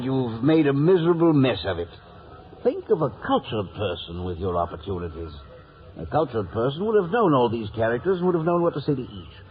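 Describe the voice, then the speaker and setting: An actor playing a pompous, bookish, condescending gentleman who blusters and stammers when flustered, in 1950s radio drama style. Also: medium pitch, lightly acted. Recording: old radio broadcast, narrow band, music behind.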